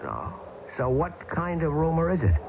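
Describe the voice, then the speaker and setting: A joking stranded pilot, voice swings way up and down, tinny hissy old radio. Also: male voice, fairly high pitch, wildly swooping pitch, moderate pace, gravelly, heavily acted. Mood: curious. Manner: jaunty, joking, turning alarmed. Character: wisecracking American pilot stranded in the Arctic. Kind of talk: 1970s radio drama acting.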